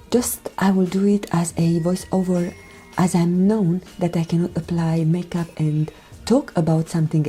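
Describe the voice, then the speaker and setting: A woman from Romania with a Romanian accent, speaking a gentle, careful voiceover for her makeup tutorial, often dropping near a whisper. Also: deep voice. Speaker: beauty vlogger. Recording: voiceover with background music.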